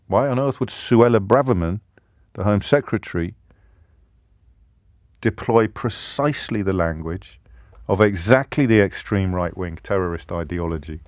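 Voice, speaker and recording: A man hosting a radio phone-in with an English accent, giving a forceful, rhetorical monologue that keeps repeating its questions. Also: sits low, no echo, clean studio sound. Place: radio studio. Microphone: studio microphone.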